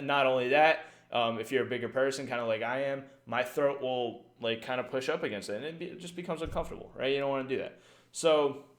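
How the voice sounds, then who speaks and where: A man's voice: mid-range voice, fairly bright tone. A man who casts esports matches, talking casually on a livestream and rambling with lots of fillers. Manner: rambling, frequent fillers and "right?". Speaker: esports caster giving tips.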